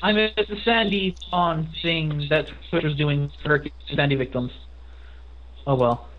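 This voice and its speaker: A man's voice: on the high side, steady tone, a little slow, noisy background. A man talking offhandedly in a casual livestream chat. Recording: slightly dull mic sound.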